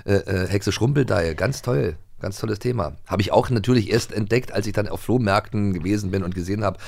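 A man's voice: lowish voice, fairly quick, creaky, a little mellow. A man hosting a podcast, chatting casually and eagerly repeating words as he goes. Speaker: podcast host. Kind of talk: casual chat.

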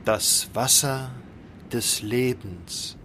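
An actor playing a confident, carefree elder prince in a fairy-tale radio play, self-assured and unhurried. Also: male voice, mid-range voice, animated, slight background noise, natural voice.

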